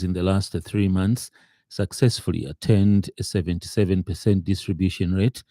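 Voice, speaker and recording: A very mellow, dark male voice reads the radio news in a Zambian accent. He sits low in pitch and delivers the script formally and with measure, in a dry studio sound. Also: dry recording.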